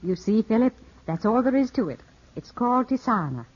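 A woman's voice: on the high side, very animated, a little fast. A woman playing a charming, teasing, composed lady in a 1950s radio drama, with a genteel, coaxing, theatrical delivery. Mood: smooth, poised. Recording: dull, slightly husky-sounding 1950s radio broadcast.

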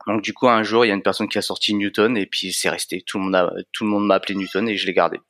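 Relaxed low-voiced man in his thirties. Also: very fast, no echo, studio quality. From France. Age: 30s. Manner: relaxed, hesitant with frequent fillers. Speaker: guest, fitness coach and content creator. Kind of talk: casual interview chat.

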